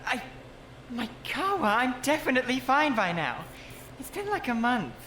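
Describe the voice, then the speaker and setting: A woman's voice: fairly high pitch, big pitch swings, moderate pace, echoey room, very noisy. A woman voicing a timid, hesitant young man who mumbles, in a fan audio drama. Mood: shy. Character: shy, meek young man.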